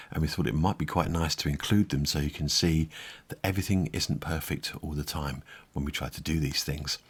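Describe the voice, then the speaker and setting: A man's voice: a bass voice, slightly rough. A man hosting a podcast in a casual, conversational style. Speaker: podcast co-host.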